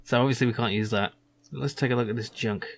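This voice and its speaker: A man's voice: mid-range voice, steady tone, quick pace, slight background noise. A man hosting a casual game playthrough, chatting in an amused way and reading the hero's lines. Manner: chatty and amused, with frequent laughs and fillers. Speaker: gameplay video host. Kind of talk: casual let's-play commentary and reading lines.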